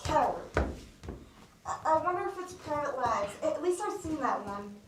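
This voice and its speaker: A distant, echoing theatre recording with some hiss. A young woman plays a confused, apologetic stage character in a very high voice, stammering politely and sounding unsure. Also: animated, normal speed. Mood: puzzled, hesitant. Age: teens. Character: bewildered, apologetic accountant mistaken for an actor, called George. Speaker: student actor. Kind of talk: stage play acting.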